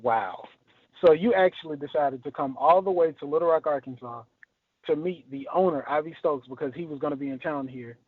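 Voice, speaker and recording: Male call host, fairly high voice, chatty interviewer, narrow tinny telephone audio. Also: brisk, dry recording. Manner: chatty, punctuates with "man" and "now". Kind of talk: conversational interview on a conference call. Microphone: telephone conference call. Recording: tinny phone line, narrow band.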